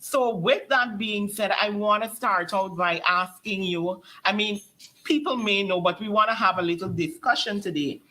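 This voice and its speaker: A woman hosting a talk show, asking polite, measured questions with plenty of fillers. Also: medium pitch, bright, forceful tone, rough recording. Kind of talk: interview questions.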